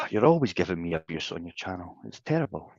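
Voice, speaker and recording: A Scottish man bantering on a livestream, his pitch swinging up and down as he teases and lectures. He swears freely and talks through a phone, so the sound is dull and lo-fi with little room around it. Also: very animated, brisk, a bit bright, dry recording. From Scotland. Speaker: guest co-host on a livestream. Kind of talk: casual banter.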